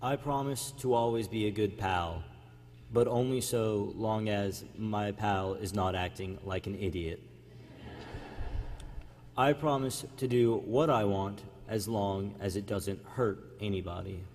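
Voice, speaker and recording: Seeking a teen male with a soft-toned, slightly low voice for a read-aloud in a reverberant church. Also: measured pace. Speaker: youth reader at a church service. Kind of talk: reading aloud a children's book pledge. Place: large indoor church sanctuary. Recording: echoey church hall, faint hum.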